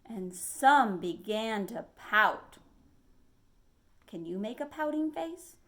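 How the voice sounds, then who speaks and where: A woman with a high, very lively voice reads a children's story aloud. She takes her time and is expressive, like a librarian at storytime drawing young listeners in. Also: slow. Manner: expressive, asks listeners questions. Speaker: children's librarian reading a picture book aloud.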